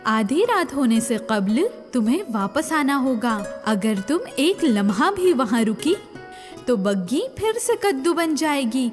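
A woman voicing a kindly, commanding fairy godmother in a children's cartoon story, giving deliberate instructions. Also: fairly high pitch, close to an everyday voice. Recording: long reverb, music underneath.

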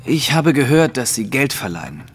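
A man's voice: medium pitch, very animated, normal speed, soft background music, lightly acted. Actor as haughty nobleman in reverberant room. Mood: businesslike, urgent. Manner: formal, commanding, self-assured. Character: haughty, secretive nobleman of high rank. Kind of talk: audio drama acting. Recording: reverberant hall, faint background noise.

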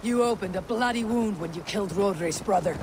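Young Viking hero, video game, steadfast, commanding, higher-set voice, noisy outdoor ambience. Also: male voice, quick pace. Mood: measured.

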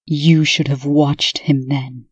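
A stern princess in charge, played by a woman in a comic dub. Her voice is dark and firm, with a very dry sound. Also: low voice, flat delivery, slow, breathy edge, dry recording, heavily acted. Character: stern, authoritative princess investigator. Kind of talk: comic dub character acting.

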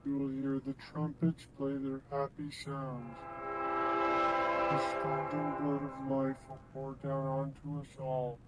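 A man's voice: medium pitch, completely flat delivery, takes it slowly, close to an everyday voice, low-quality recording. A man voicing an ominous, solemn, commanding entity that preaches in an analog horror monologue. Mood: solemn menace. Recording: loud music under the voice.

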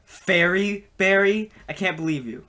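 Very bright-voiced man bantering offhand. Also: sits high, expressive, measured pace. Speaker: amateur fan dub performer. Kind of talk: improvised comedic fan dub acting.

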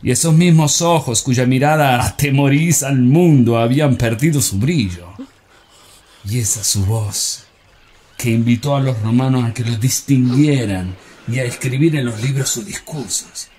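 A man's voice: mid-range voice, moderate pace, darkish tone, natural voice. A man playing a bitter, sneering Roman conspirator. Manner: declamatory, sneering, mocking. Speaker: stage actor. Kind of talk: theatrical monologue.

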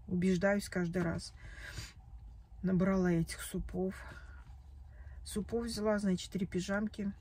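A woman's voice: on the low side, a little mellow, slightly rough. A woman in her forties, upset and tearful, venting about a bad day in a vlog recorded in her parked car. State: tearful after crying. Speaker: vlogger. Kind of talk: emotional confessional vlog. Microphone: phone camera at arm's length.

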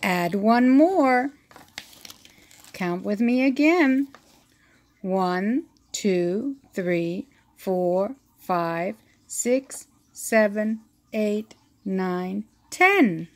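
A woman reading a children's math picture book aloud, counting slowly and asking the listener questions, recorded on a phone held over the book. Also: mid-range voice, expressive. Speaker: read-aloud teacher.

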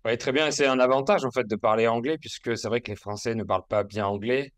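A man's voice: mid-range voice, fast talker, dry recording. Middle-aged host guy on a headset, encouraging, dry close sound. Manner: encouraging, explanatory. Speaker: host, French teacher. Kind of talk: interview. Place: small room at home. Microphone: headset on video call.

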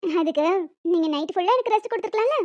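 A woman's voice: extremely high pitch, animated, racing, lo-fi sound, very noisy. A woman voicing a young wife in a cartoon drama, playfully scolding and bantering. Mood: mock scolding. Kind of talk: cartoon relationship drama dialogue.

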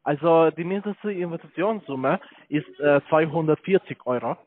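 A man with a foreign accent, not a native speaker, making a hesitant telesales pitch for investments. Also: no room sound. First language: not German. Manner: halting, many hesitations. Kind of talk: phone sales pitch. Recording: tinny dry phone line.

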